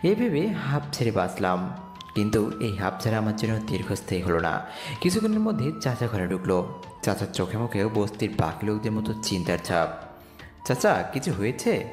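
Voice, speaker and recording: Brief: male actor as humble, earnest young slum lad, animated delivery, heavy reverb, music underneath, romantic audio drama. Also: on the low side, fairly quick. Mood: worried. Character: poor young slum boy, humble, earnest, narrating his story. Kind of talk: romantic audio story narration and dialogue. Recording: echoey reverb with music bed.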